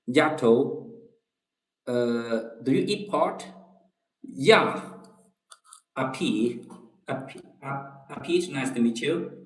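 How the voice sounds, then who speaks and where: A man with a Chinese accent chats slowly and unhurriedly with his online viewers. He repeats his phrases and keeps checking in with "okay?" as he goes. His voice sits in a middle pitch and rings in a roomy, echoing space. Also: expressive. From China. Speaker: livestream host. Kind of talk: casual livestream chat with viewers.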